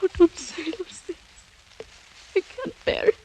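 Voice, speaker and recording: A young French noblewoman, earnest, proud and full of anguish. Her voice is high and soft-toned, with formal, pleading melodrama in the manner of golden-age radio acting. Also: expressive, unhurried, a little hiss or hum, rough recording. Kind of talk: 1940s-50s American radio drama acting.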